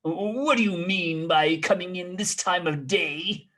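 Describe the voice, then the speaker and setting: A man voicing a kindly reformed old miser, playfully gruff and giddy, in a stammering, halting way. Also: high-pitched, pushed and bright, exaggerated voice. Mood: mock-stern growl.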